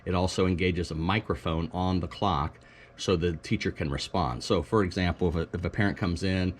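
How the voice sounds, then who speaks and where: Low-pitched male presenter, matter-of-fact informal demo narration, light background noise. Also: fairly even intonation, fairly quick. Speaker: school technology staff presenter. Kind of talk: informal product demonstration narration.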